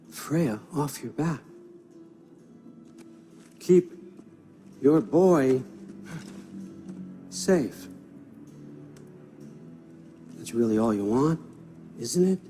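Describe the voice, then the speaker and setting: A man's voice: mid-range voice, dark tone, big-room sound. An actor playing a sly, sardonic older god-king in a video game, wheedling and conversational with menace underneath. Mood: smooth bargaining. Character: sly, wry older male Norse god-king and patriarch. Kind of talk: cinematic video game acting.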